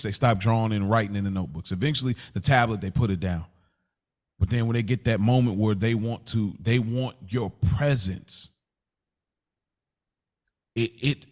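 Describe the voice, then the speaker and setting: Male co-host, slightly low voice, conversational debater who feels his way through each point haltingly. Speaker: podcast co-host.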